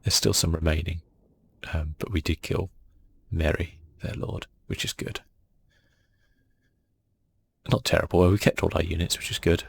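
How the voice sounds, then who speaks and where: A man plays a game and comments softly in ASMR style. His voice is very low and full of fry. He thinks aloud in a relaxed way, with audible noise and ambience behind him. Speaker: gaming video host.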